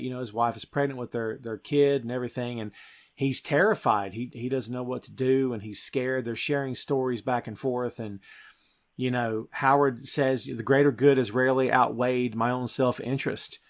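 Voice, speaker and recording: A man's voice: mid-range voice, moderate pace. Emphatic man hosting a film podcast. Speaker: podcast host. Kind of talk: film review podcast talk.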